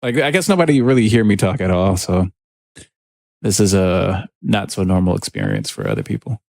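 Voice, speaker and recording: A man with a deep, gravelly voice talks in a loose, laid-back way to viewers while he makes music. His speech meanders, and he drops easy asides as he reads the comments. The sound is dry and close, with no room around it. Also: big pitch swings, normal speed, dry recording. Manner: laid-back and rambling, with soft laughs. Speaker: music producer streaming his session. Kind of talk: casual livestream chat, reading viewer comments.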